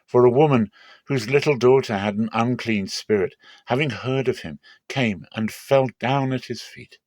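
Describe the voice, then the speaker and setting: A man narrating a dramatic Bible reading in a measured, solemn, clearly phrased manner. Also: mid-range voice, darkish tone, no room sound.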